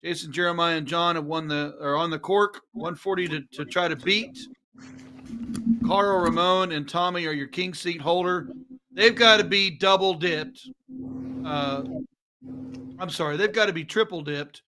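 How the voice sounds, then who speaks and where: A man's voice: a little high, moderate pace, background noise. A man in his fifties calling a darts match live, stumbling over player names and laughing at himself. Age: 50s. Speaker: darts commentator.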